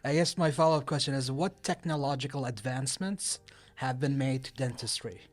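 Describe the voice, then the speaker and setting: A man's voice: medium pitch, steady tone, a little fast. A man co-hosting a talk show, asking short, curious questions in casual chat. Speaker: co-host.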